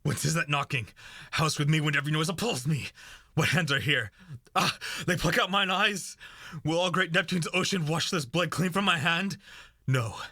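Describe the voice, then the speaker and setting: A man's voice: fairly high pitch, moderate pace, sharp tone. A man acting a guilt-racked, fearful murderous thane in a Shakespearean radio play, with a haunted, intense delivery. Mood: guilt-stricken, afraid.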